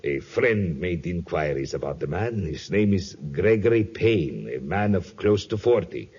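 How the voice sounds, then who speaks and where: A man's voice: low-pitched, animated, measured pace, darkish tone, husky, heavily acted. An actor playing a domineering, suspicious plantation owner and father in a 1950s radio drama, formal and self-justifying in manner. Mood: grave. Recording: dull vintage 1950s radio recording.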